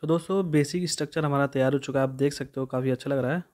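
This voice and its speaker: A man in his thirties from India, a camping vlogger who chats casually while showing each step of pitching a tent on an open hillside. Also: very fast, darkish tone. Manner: chatty, repeats "so doston", shows each step. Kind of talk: casual how-to vlog. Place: open hillside meadow outdoors.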